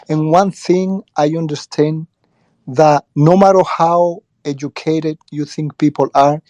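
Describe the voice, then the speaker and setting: A man explaining his view earnestly and haltingly in a casual group discussion. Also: fairly high pitch, warm tone, no echo. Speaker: discussion participant, former teacher. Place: live online audio room, dry sound.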